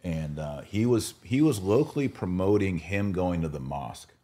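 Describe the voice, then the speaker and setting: Deep-voiced man chatting on a podcast. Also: lively intonation, normal speed, mellow. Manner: informal, recounts dialogue in quotes. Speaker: podcast host. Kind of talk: casual podcast conversation, storytelling.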